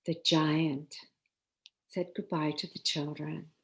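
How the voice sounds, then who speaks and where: A woman storyteller reading a children's tale expressively, with deliberate dramatic pauses. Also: sits low, flat delivery.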